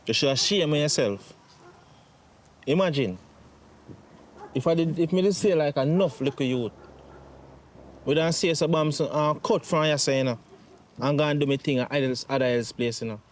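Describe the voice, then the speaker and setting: A man with a Jamaican accent playing a distraught grown son in a domestic drama, pleading and reproachful, with halting, repetitive speech. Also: a little high, lightly acted. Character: distraught grown son reproaching his mother over money. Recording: faint background noise.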